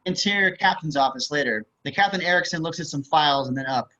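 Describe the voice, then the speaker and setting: A man's voice: on the high side, fast talker, sharp tone. A man reading stage directions as scripted narration in a screenplay table read. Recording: slightly dull video-call audio.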